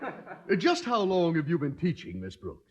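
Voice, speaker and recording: High-pitched, incredulous straight man. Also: huge pitch swings, normal speed, dull audio. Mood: bewildered. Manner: exasperated, disbelieving. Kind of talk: 1950s radio comedy acting. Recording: noisy 1950s radio, laughing audience.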